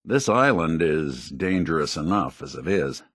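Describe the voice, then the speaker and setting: A male actor voicing a world-weary, principled android private detective in a video game, with a gravelly, wry, plainspoken noir delivery. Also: very deep voice, sing-song, measured pace, a bit bright, dry recording, studio quality, exaggerated voice. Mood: measured, wry. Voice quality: gravelly, husky.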